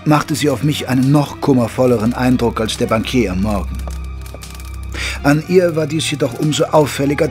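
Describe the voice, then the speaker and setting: Man acting as a loyal gentleman sidekick narrating a mystery, formal and measured, music behind him. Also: medium pitch, lightly acted. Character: observant gentleman narrator, detective's loyal companion. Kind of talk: scripted narration.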